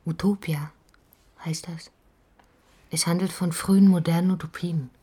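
An actress playing a weary, inward-turned woman writer, narrating her thoughts in a hushed, near-whispered monologue for a radio drama. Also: fairly low pitch, steady tone, unhurried, slightly rough.

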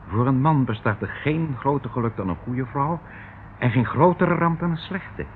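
An actor in a radio play playing a pompously cultured, patronising uncle who dotes falsely and evades every question. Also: male voice, medium pitch, moderate pace, close to an everyday voice. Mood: unctuous, evasive. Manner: theatrical, patronising, rambling, chuckling. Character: unctuous, evasive uncle captor, pompously cultured, falsely doting. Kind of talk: Dutch radio play acting. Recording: tinny, hissy old radio play recording.

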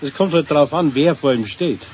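A man playing a gruff, cheeky zoo keeper with a Bavarian accent and a dry, folksy manner in a radio play. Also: on the high side, moderate pace, a little mellow, lightly acted. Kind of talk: 1970s children's radio play acting. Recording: dull, faintly noisy old radio recording.

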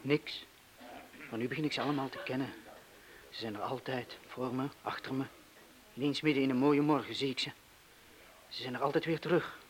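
An actor playing a haunted, distracted man in a radio play, speaking in an absent, halting way. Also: medium pitch, fairly even intonation, lightly acted, rough recording. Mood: haunted, absorbed. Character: haunted, distracted, dishevelled man with stolen stethoscope.

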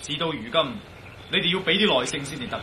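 An actor playing a composed, reassuring and decisive adventurer in a Cantonese radio drama. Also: male voice, a little high, animated, fast, bright, forceful tone, slight background noise, low-quality recording.

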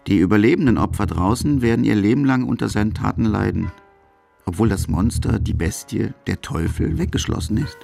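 A man's voice: fairly low pitch, fairly quick, vocal fry, mellow, soft background music. A man narrating a radio documentary from a script.

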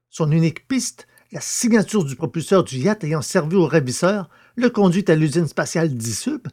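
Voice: medium pitch, darkish tone. Scripted audio drama recap narrator.

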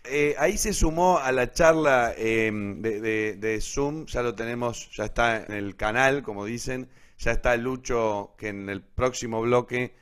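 An Argentine man hosting a live online show casually, in a rambling, halting way that often corrects itself. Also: mid-range voice, a bit bright, smooth. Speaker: host of an online radio theatre show.

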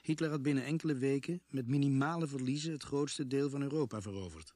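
Acteur als verteller, droog opgenomen mannenstem. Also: male voice, mid-range voice, fast, warm tone, no room sound, close to an everyday voice. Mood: matter-of-fact. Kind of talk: scripted radio play narration.

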